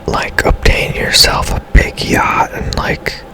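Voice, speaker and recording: A man giving casual, hushed ASMR commentary over a video game in a breathy whisper, musing in an unhurried way. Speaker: game streamer, host.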